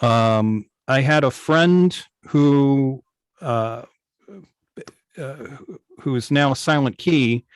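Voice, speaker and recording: An older man with an American accent telling stories informally on a video call, halting and often pausing mid-thought. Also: mid-range voice, smooth, dry recording. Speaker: guest, old-time radio station operator. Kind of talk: informal storytelling in an online club meeting.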